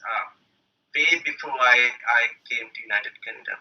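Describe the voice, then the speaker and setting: A man's voice: medium pitch, unhurried, vocal fry. A man with a Sri Lankan accent giving a conversational presentation with personal stories, as an academic speaker over an online call. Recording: muffled lo-fi online call audio.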